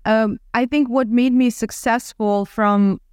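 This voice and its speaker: A woman from Ukraine in her thirties with a Ukrainian accent, talking casually as a podcast guest with lively restarts and fillers. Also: a little high, unhurried, smooth, no room sound, studio quality. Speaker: guest entrepreneur. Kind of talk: casual interview talk.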